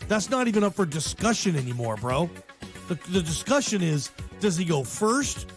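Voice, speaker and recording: High-pitched American sports radio guy. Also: very animated, normal speed, faint music behind. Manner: conversational, opinionated, slangy, emphatic repetition. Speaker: sports talk radio host. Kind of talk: casual sports radio commentary. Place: radio show.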